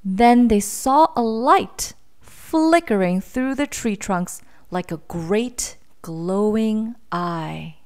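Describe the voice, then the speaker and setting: Animated, slow-paced woman storyteller. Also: on the high side, clean tone. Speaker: storybook reader. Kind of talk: expressive children's storytelling.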